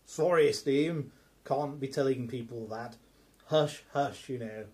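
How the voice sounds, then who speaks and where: A man playing a fussy, evasive gentleman in a steampunk radio play, clipped and apologetic. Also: medium pitch, measured pace, natural voice.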